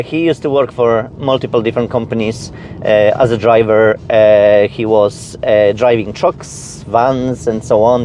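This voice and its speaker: A man's voice: mid-range voice, darkish tone. A man from Poland with a Polish accent, chatting casually to viewers as a vlogger, effusive and often repeating words for emphasis. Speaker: vlogger presenting own channel. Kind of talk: casual personal vlog chat.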